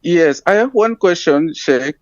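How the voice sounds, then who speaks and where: A man's voice: fairly high pitch, animated, a little slow, no room sound, dull audio. A man from Cameroon calling in to ask a question, polite and hesitant with many fillers. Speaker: caller.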